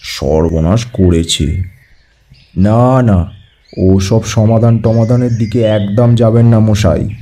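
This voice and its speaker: A man playing a middle-aged, cautious and polite village headmaster in an audio story, speaking deliberately. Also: lowish voice, steady tone, dark tone. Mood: alarmed pleading. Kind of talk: Bengali audio story acting.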